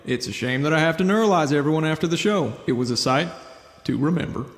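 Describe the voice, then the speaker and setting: Man as a fast-talking slick agent, echoey. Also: on the high side, lightly acted. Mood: smooth praise. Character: slick male secret agent turned pageant judge. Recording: echoey hall with long reverb.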